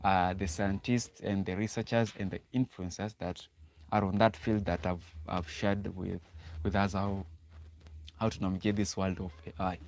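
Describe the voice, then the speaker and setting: A man's voice: low-pitched, level tone, normal speed, gravelly, a little mellow. Kenyan man chatting on a livestream. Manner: repeats words and restarts often, reads chat aloud. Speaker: livestream host. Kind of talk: casual livestream talk to viewers.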